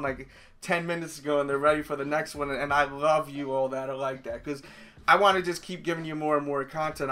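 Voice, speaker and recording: A man's voice: on the high side, fairly bright tone. A man with a New York accent, chatting casually and enthusiastically to his viewers as a sneaker YouTuber. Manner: chatty, enthusiastic, rambling with fillers. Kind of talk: casual vlog talk to viewers.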